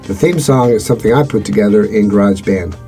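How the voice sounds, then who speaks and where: Low, mellow-voiced man hosting a podcast. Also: fast, faint music behind. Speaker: podcast host. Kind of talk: scripted podcast narration.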